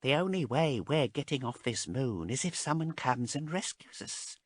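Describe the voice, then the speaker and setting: A man voicing a cowering, hushed abhuman slave. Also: mid-range voice, lively intonation, moderate pace, no echo, pitched above the performer's own voice, natural voice. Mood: resigned. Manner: hushed, fearful pleading. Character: small fearful male abhuman slave.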